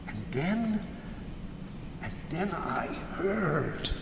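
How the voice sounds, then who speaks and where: An actor playing a vengeful, haunted older man who recounts his crime in a slow, deliberate, theatrical gothic radio drama style. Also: fairly high pitch, sing-song, creaky, full character voice. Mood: haunted, remorseful. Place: live stage before an audience in a large hall. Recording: echoey noisy hall, far from mic.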